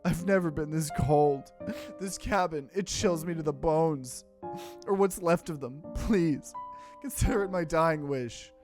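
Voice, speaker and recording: A man acts out a gentle dying man in a visual novel, resigned and pleading. The voice is raised and frail, with faint music behind it. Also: fairly high pitch, measured pace, natural voice. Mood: weak, grateful. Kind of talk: dramatic reading of game dialogue.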